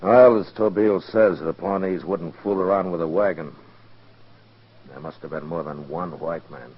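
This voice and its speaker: A muffled old radio western carries a frontier marshal. His voice is very deep, steady and commanding, with sober, deliberate delivery. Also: husky. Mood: firm. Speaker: lead actor and first-person narrator. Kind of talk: 1950s radio western acting and narration.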